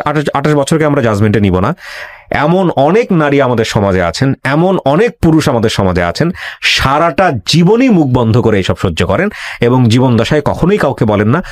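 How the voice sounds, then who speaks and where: A Bangladeshi man hosts a talk show in a lively, swooping voice with a dry, close sound. He speaks quickly and emphatically, advising earnestly, repeating phrases for weight and slipping in English words. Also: medium pitch, dry recording. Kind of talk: earnest advisory monologue and interview.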